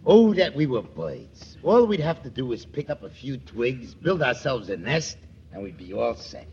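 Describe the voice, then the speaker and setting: A goofy, dim sidekick. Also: male voice, medium pitch, sing-song, normal speed, gravelly, darkish tone, a little hiss or hum. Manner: folksy, dopey. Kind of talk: 1940s radio comedy acting. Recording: dull old radio recording.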